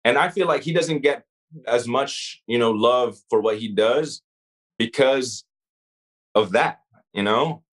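A man's voice: medium pitch, slow pace, long pauses. A man with a mixed Arabic-influenced English accent, a rapper as podcast guest, speaking thoughtfully with frequent restarts and fillers. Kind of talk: casual interview chat.

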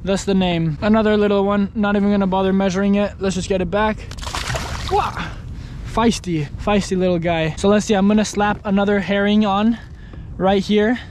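A young man fishing from a kayak on open water, chatting casually to an action camera and breaking into excited exclamations. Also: high-pitched, moderate pace, a little hiss or hum. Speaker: kayak angler. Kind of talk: casual fishing vlog commentary. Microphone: action camera mounted on the kayak.

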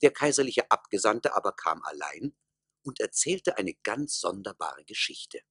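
A man's voice: lowish voice, sing-song, normal speed, fairly bright tone, breathy edge, no echo, clean studio sound, full character voice. A man narrating a radio play in a scripted storybook style. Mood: wry storytelling.

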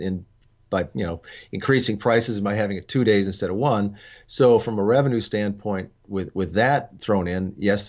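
Older male co-host, low voice, measured delivery, dull lo-fi video call sound. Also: a little mellow. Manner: measured, thoughtful, frequent fillers and restarts. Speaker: co-host and racing journalist. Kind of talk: casual discussion show. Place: studio with dark backdrop.

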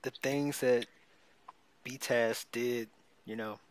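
A man's voice: medium pitch, steady tone, very slow, clear, resonant tone. A young adult man co-hosting a podcast in casual, slangy talk, often repeating his phrases. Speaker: podcast co-host. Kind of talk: casual chat.

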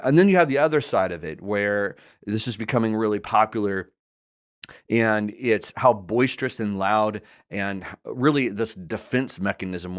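A man's voice: lowish voice, a bit bright. A man in his forties, a pastor from the United States, hosting a solo podcast and talking earnestly and directly into a studio mic, often restarting and repeating words. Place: small studio set indoors. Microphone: large studio mic in front of mouth.

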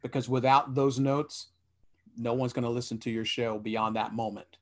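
A man's voice: mid-range voice, fairly even intonation, normal speed. Earnest man explaining on a video call. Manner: earnest, explanatory, with frequent restarts. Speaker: panelist. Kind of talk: panel discussion over video call. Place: small room indoors. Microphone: headset on a video call.

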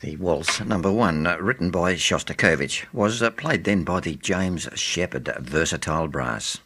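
A man presenting a music programme on radio, reading scripted introductions in a measured, formal way. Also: low-pitched, lively intonation, noisy background.

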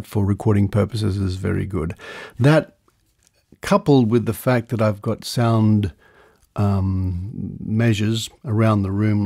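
A man's voice: lowish voice, vocal fry, darkish tone. A man hosting a podcast in a semi-scripted monologue, recorded on a close studio microphone in a treated room. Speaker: podcast host. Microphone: close studio condenser microphone.

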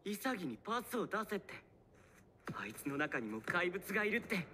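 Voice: mid-range voice, very animated, moderate pace, pushed and bright, slight background noise. An actor voicing a playful, slightly eerie teenage boy soccer player in a Japanese anime, airy and uncanny. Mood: dreamy, playful. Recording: music behind the dialogue.

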